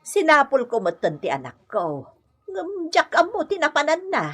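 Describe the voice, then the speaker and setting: A woman's voice: high voice, huge pitch swings, normal speed, a bit bright, full character voice. A woman playing an older, plaintive, placating mother in an Ilocano radio drama. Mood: dismayed, ashamed. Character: older mother, embarrassed and defensive about her son.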